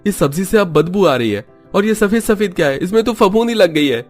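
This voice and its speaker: A man's voice: sits high, lively intonation, brisk. A man voicing an annoyed, accusatory husband in a cartoon story. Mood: disgusted. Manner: complaining, accusatory. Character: annoyed husband fed up with bad food. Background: background music.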